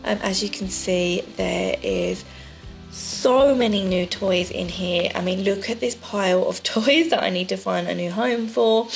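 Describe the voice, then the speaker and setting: Woman, thirties, casual voice-over, gravelly fry, lots of ums, soft music behind. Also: medium pitch, poor audio. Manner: chatty, many ums. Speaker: vlogger. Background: soft background music.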